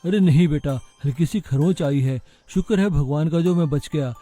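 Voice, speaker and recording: A man's voice: fairly high pitch, moderate pace, dark tone, soft background music, lightly acted. A man voicing a genial older company owner in a cartoon story, fatherly and familiar. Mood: relieved.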